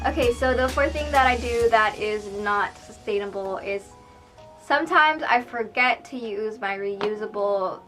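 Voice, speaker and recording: A woman's voice: mid-range voice, low-quality recording. A young woman from Hawaii talks casually and confessionally to camera, like a vlogger, in a room at home. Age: young adult. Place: small room at home, indoors. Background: soft background music.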